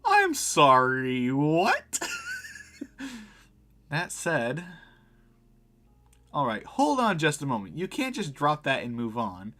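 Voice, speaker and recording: Bright-voiced man streaming a visual novel. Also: on the high side, huge pitch swings, slow pace, long pauses. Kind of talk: livestream let's play, reading game dialogue aloud with reactions.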